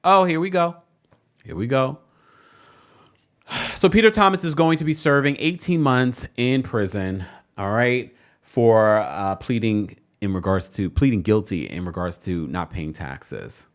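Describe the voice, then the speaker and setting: A man's voice: lowish voice, unhurried. A man hosting a celebrity news show, reading the story and adding mocking, sassy asides. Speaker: show host.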